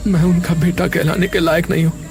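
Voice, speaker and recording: A man acting as a devoted young son from a village in an animated story, earnest in manner. Clear music plays behind the voice. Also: sits high, a little fast. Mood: grieving, remorseful.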